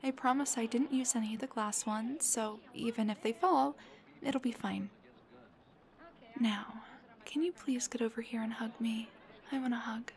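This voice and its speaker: A woman playing a playful, clingy, affectionate young girlfriend in an intimate ASMR roleplay, soft and breathy, often near a whisper, with a cutesy, pleading way of talking. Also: fairly high pitch, normal speed, natural voice. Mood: sheepish, playful.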